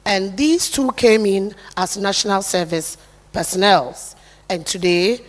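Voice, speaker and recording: A woman giving a formal speech at an event, in a low, soft voice, earnest and declarative. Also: a little slow. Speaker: corporate guest speaker. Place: large event hall. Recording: reverberant hall, long echo.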